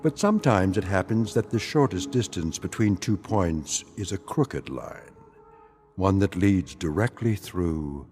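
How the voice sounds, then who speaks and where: An actor delivering grave, measured, portentous narration for an eerie radio drama anthology. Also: male voice, low voice, expressive, darkish tone. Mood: wry, grave.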